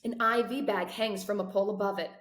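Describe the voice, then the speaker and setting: A woman's voice: mid-range voice, steady tone, fairly quick. A woman hosting a read-aloud, introducing and narrating a book for students and teachers. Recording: roomy echo.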